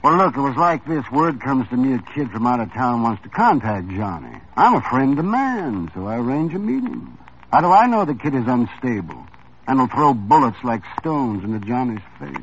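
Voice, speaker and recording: An actor playing a sly, evasive racketeer who talks in a languid, theatrical way in an old radio crime drama. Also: male voice, medium pitch, very animated, moderate pace, slight background noise. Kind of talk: 1950s radio drama acting. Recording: dull 1950s radio drama recording.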